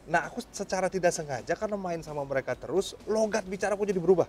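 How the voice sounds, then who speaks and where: A man's voice: high-pitched, very fast, a little mellow, a little hiss or hum. A man, a pastor giving conversational religious advice informally, with some English words mixed in. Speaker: pastor answering questions.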